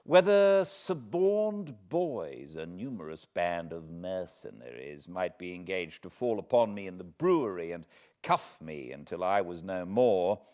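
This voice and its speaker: British man, literary narration, deep voice, widely swooping intonation, measured dramatic delivery, deliberate pauses. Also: big pitch swings, a little mellow. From Britain. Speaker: audiobook narrator, host of fireside readings. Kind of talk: expressive literary storybook reading.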